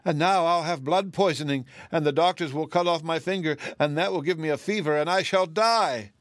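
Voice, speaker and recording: A man's voice: a little high, fairly quick, dry recording, clean studio sound, pitched above the performer's own voice, natural voice. A man voicing a hysterical, weeping woman in a storybook, sobbing and panicking as she frets over tiny harms. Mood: sobbing fear.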